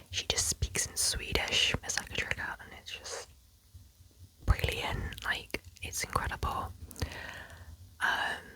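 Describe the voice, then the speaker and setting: Whispering woman, ASMR host. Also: poor audio. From Wales. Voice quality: soft whisper throughout. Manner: soothing repetition of words, rambling with fillers and restarts. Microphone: close ASMR microphone held near the face.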